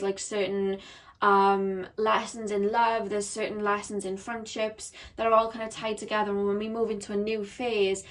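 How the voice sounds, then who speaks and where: Woman, croaky shaky voice, rambling tarot reading, a bit off-mic. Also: mid-range voice, far from the mic. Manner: chatty, rambling with many ums and likes. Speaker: tarot reader. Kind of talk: pick-a-card tarot reading. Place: seated behind a camera at home.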